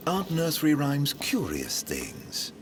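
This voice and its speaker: Slow, swooping sly schemer. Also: male voice, fairly high pitch, wildly swooping pitch, a little hiss or hum. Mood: sly, coaxing. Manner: drawn-out, lilting, mock-courteous delivery. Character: theatrical, sly man speaking in riddles. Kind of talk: English video game voice acting.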